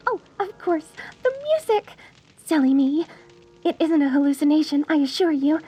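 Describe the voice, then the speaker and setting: High-voiced, lovestruck deranged mortician bride. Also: female voice, darkish tone. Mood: doting, smug. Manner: theatrical, cooing, genteel and gushing with endearments. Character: sweetly deranged yandere woman mortician, lovestruck and menacing bride. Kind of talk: dark comedy audio roleplay, addressed to the listener.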